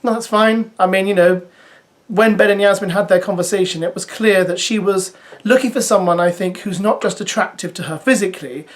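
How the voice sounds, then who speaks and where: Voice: mid-range voice, fairly quick. A parent vlogging casual commentary on a reality dating show, chatty with sarcastic asides. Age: adult parent. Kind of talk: casual spoken commentary to viewers.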